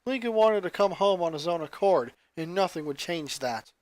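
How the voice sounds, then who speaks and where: Man, high voice, scripted fiction narration, dry sound. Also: lively intonation, moderate pace, no room sound. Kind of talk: scripted story narration, fan fiction reading.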